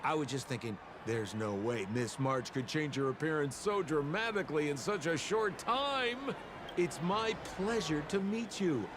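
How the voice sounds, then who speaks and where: An actor playing a sly, smooth-talking young swindler in a video game, flamboyant and ingratiating like an oily salesman. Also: fairly high pitch, animated, a little slow, noisy background. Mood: playful, ingratiating. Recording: music behind the voice.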